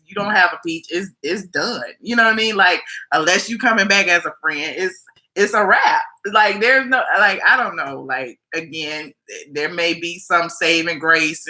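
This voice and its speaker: A woman hosts a live call-in gossip chat with a very bright, sharp tone. She talks in a loose, casual way. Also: fairly low pitch, rough recording. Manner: casual, conversational. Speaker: host.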